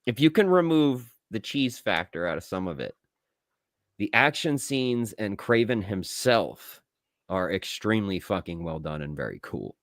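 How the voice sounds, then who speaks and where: A man co-hosting a casual movie review podcast, talking in an excitable, blunt way with plenty of swearing. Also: lowish voice, a little slow, no room sound, clean studio sound. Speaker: podcast co-host.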